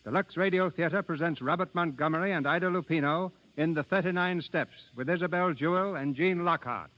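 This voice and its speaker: A man hosting a radio theatre, reading scripted 1930s narration in a formal, measured announcing manner. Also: on the high side, slight background noise. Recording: muffled 1930s radio broadcast.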